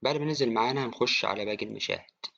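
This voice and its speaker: Very fast-talking Egyptian man, casual tutorial. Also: mid-range voice, vocal fry, sharp tone, no echo. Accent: Egyptian Arabic. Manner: informal, addresses viewer as a friend. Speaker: tutorial presenter. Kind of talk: casual screen-recorded software tutorial. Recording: dry, slightly dull, with clicking.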